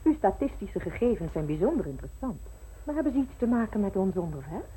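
A woman's voice: mid-range voice, big pitch swings, fast, mellow, slight background noise, lightly acted, rough recording. An actress in a radio play voicing a genteel, sweetly insinuating society lady with an arch, coy manner.

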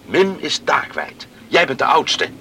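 An actor playing an earnest, proud younger brother in a radio play, speaking with insistent emphasis. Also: male voice, on the high side, sing-song, measured pace, vocal fry, sharp tone, slight background noise, exaggerated voice. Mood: pleading. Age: young adult.

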